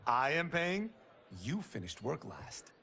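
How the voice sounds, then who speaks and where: An actor playing a brash, boastful young martial artist in a video game, teasing and punchy in delivery. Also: male voice, a little high, sing-song, takes it slowly, bright, forceful tone, heavily acted. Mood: cocky, teasing. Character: cocky, brash young male martial artist, loyal and defiant. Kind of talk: video game cutscene acting.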